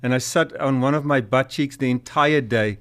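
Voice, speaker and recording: A man's voice: mid-range voice, normal speed, slight background noise. A middle-aged man from South Africa with a light South African accent, telling stories as a guest on a talk show. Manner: storytelling, earnest, many fillers. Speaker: guest, nonprofit executive director. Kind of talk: casual interview chat.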